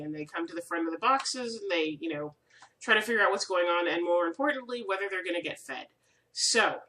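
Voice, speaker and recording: A woman's voice: medium pitch, pushed and bright, recorded from a distance, rough recording. A woman with a slight head cold, hosting a casual crafting vlog from her room at home, rambling in a friendly way with plenty of ums. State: stuffy from a cold. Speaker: crafting video host.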